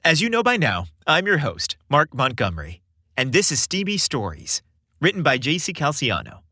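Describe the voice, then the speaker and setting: A man hosting a podcast and narrating a scripted story in a theatrical, expressive way. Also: on the high side, normal speed, breathy edge, dry recording, studio quality.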